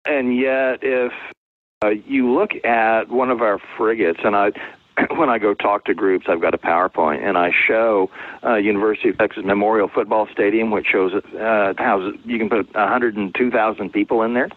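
A man's voice: on the low side, steady tone, moderate pace, no echo. A man being interviewed as a novelist, talking conversationally and explaining things through anecdotes. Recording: phone-like, narrow-band, dry sound.

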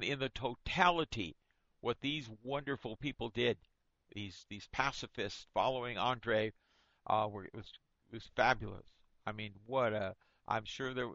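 A man's voice: medium pitch, bright, forceful tone, dry recording. A man moderating an informal book discussion, speaking appreciatively in broken phrases with self-corrections. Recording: dull, lo-fi, dry sound.

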